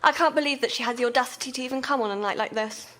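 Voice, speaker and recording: Woman acting fussy high-voiced mother. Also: very fast, a bit bright, slight background noise. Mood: worried, disapproving. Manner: fussy, imperious. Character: anxious, status-conscious mother, disapproving and bossy. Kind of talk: amateur radio drama acting.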